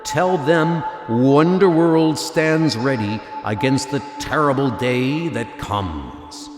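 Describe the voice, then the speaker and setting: A man's voice: medium pitch, lively intonation, slow, echoey room. A man voicing a solemn, ancient giant guardian in a comic-book audio drama, speaking in grave, archaic pronouncements. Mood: ominous warning. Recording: music behind the voice. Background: loud dramatic music.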